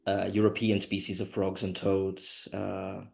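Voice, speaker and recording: A man from Thailand co-hosting an online workshop, chatting in a relaxed, frank way over a video call. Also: low voice, a little slow, vocal fry. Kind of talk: casual online session chat.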